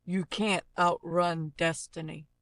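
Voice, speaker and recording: An actor as a slow, terse fugitive with effects. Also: flat delivery, a bit bright, dry recording. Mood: grim, urgent. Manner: terse, dramatic, deliberate. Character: grim fugitive fantasy or game character issuing urgent warnings. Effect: pitch and robotic character voice effects being demonstrated. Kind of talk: short scripted dramatic character lines, played as a looping vocal sample.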